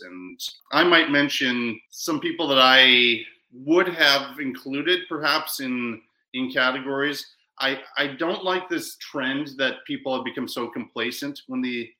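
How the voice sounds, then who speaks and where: A man hosting a casual podcast chat about film awards, talking in halting bursts full of restarts and repeated words. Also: medium pitch, bright, forceful tone, reverberant, rough recording. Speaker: podcast host.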